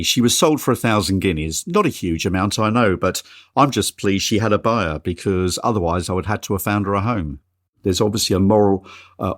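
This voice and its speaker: A middle-aged man hosting a horse racing podcast in an easygoing, chatty way. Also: sits low. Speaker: podcast host. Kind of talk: casual podcast chat.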